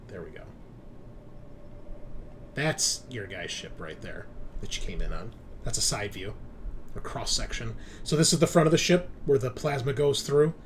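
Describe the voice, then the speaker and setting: A man's voice: medium pitch, normal speed, pauses often, slight background noise. A man casually explaining things to players as game master of an online tabletop roleplaying game, in an informal way.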